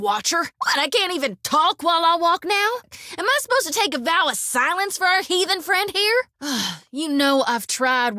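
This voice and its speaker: A devout young priestess from a fantasy game, with a blunt, folksy way of talking. Her voice sits high and leaps across a wide range. It is recorded dry and close in a studio. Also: bright, forceful tone, no echo, full character voice. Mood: exasperated. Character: devout fiery young woman priest, folksy, quick-tempered. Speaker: companion character. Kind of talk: video game voice acting. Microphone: dry studio mic.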